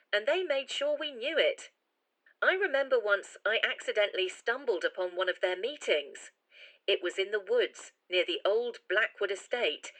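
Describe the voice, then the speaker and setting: A woman playing a haunted, uneasy witness recalling frightening memories in a halting, dramatic testimony. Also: mid-range voice, fairly bright tone, low-quality recording. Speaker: anonymous witness.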